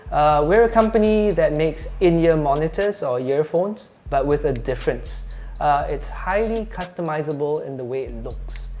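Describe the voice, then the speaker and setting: A man giving an informal, halting product presentation as a company spokesperson in a showroom. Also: fairly high pitch, animated, a little mellow, slight background noise. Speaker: company spokesperson, chief storyteller. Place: showroom office. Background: music.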